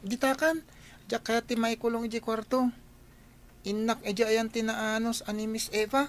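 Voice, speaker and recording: Woman acting a defiant little kid, slightly high. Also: steady tone, moderate pace, a little hiss or hum, lightly acted. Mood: defiant, complaining. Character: defiant young child afraid of being shut away. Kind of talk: Filipino radio drama acting.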